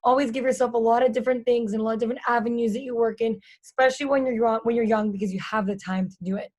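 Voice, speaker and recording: A young woman with a raspy, fairly high voice talks through a relaxed podcast interview. She chats openly and candidly, stringing her thoughts together with plenty of "like"s. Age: 20s. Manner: chatty and candid, fast run-on sentences full of "like". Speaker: guest, stadium and social media host.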